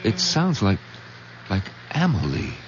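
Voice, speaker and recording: Deep, uneasy husband, swooping intonation. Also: male voice, wildly swooping pitch, a little slow, over loud music. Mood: puzzled, uneasy. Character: concerned, questioning husband, fond but uneasy. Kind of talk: 1970s American radio drama acting. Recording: noisy, muffled old radio broadcast.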